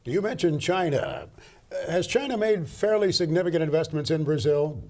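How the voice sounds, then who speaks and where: A man's voice: on the high side, normal speed, a little mellow. A man co-hosting a farm news show, asking follow-up questions in a conversational way. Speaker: co-host. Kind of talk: studio interview.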